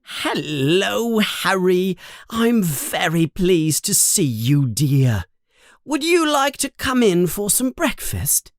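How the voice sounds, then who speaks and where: Man voicing a shrill scolding mother. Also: wildly swooping pitch, slow, fairly bright tone, husky, dry recording, studio quality, full character voice. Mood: kindly. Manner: shrill scolding, sudden sweetness to guests. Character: stern short plump mother, scolding, motherly.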